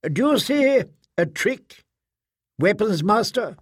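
Man acting formal commander, voice lifted high. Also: unhurried, dry recording, clean studio sound. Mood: challenging. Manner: formal, declamatory. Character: confident male military-religious leader, formal and persuasive.

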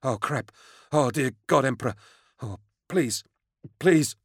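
A man's voice: mid-range voice, measured pace, gravelly, fairly bright tone, no echo, clean studio sound, voice pushed up. A man voicing a frightened, gawky mining superintendent with a panicky, pleading manner in an audiobook. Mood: terrified.

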